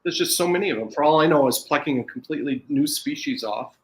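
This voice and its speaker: A man's voice: on the high side, fast, a little hiss or hum, rough recording. A man with an American accent, a podcast guest in casual chat, talking in a rambling way with frequent restarts.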